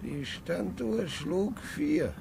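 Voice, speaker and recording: A man's voice: fairly high pitch, lively intonation, extremely slow, vocal fry, exaggerated voice. An actor playing a very old grandfather who keeps retelling his memories, in a wavering, musing voice, heard on a noisy old radio recording. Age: older. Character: very old grandfather, rambling, haunted by the past. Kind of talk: 1950s radio play acting.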